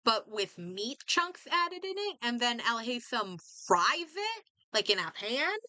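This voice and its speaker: A woman doing casual game stream commentary, reading aloud and reacting in a halting, think-out-loud way. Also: on the high side, very animated, dry recording.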